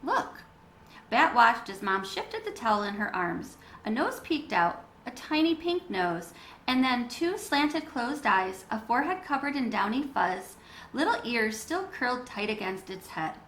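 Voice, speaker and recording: Animated, high-voiced woman reading to children. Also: measured pace. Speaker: children's read-aloud reader. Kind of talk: expressive storybook narration and explanation for children. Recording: roomy echo with background noise.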